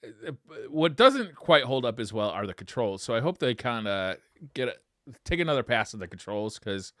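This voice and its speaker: A man's voice: medium pitch, very animated, moderate pace, fairly bright tone, no echo, clean studio sound. An enthusiastic man, chatting casually as a gaming podcast co-host. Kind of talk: casual chat about video games.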